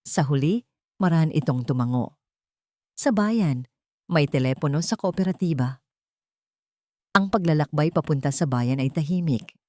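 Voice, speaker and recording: A Filipino woman narrates a dramatic love story in a low register. The recording is dry, with very little room sound. Her delivery is scripted storytelling. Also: a little mellow, no room sound.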